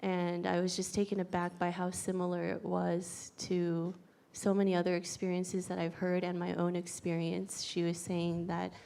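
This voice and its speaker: Low, gravelly American woman, flat and soft. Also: normal speed, few pauses. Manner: soft-spoken, even, with small fillers. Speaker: pilgrim sharing reflections. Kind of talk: grateful personal testimony.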